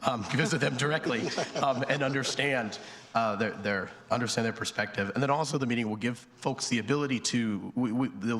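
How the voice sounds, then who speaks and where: A man with a hoarse voice from a cold, a town planning director presenting to a board with many ums and restarts. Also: on the low side, fairly bright tone. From North Carolina. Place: town council chamber at a podium. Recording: long reverb in a council chamber.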